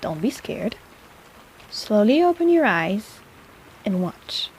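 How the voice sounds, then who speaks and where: A woman's voice: medium pitch, sing-song, slow pace, darkish tone, slight background noise, low-quality recording. A woman playing a snarky but caring apothecary in an intimate fantasy audio roleplay, chatty and teasing in a casual way. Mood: excited, amazed.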